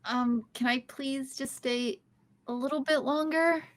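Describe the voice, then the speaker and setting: A woman reading the part of a quirky young woman in an amateur podcast table read, rambling and halting. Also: high-pitched, fairly even intonation.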